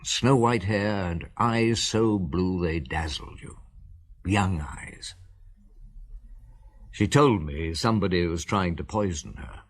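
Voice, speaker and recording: An actor playing a middle-aged, shrewd police inspector who also narrates the radio drama, asking calm, measured questions. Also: male voice, low-pitched. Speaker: narrator and detective.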